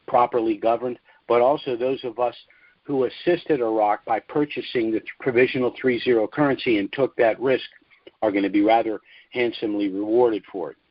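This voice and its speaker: A man hosting a conference call, delivering an informal financial update in a measured, deliberate way with pauses between short phrases. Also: mid-range voice, no room sound. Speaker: conference call host and speaker. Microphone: conference call line. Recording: dull lo-fi conference call audio.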